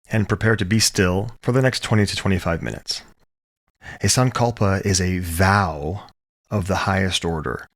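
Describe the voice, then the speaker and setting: A man leading a guided relaxation as a yoga teacher, speaking calmly and soothingly in short, measured phrases with pauses. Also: deep voice. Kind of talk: guided meditation, gentle instruction.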